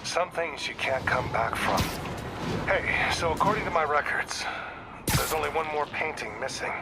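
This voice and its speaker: A man's voice: on the high side, lively intonation, normal speed, heavy background noise. A man voicing an older police detective in a video game, world-weary, guarded and matter-of-fact in his talk. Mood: guarded, somber.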